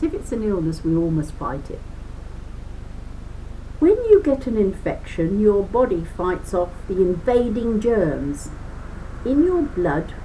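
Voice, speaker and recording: A woman's voice: lowish voice, warm tone, slight background noise, poor audio. A woman reading a children's book aloud as a lesson, explaining as she goes with chatty asides and stressing key words.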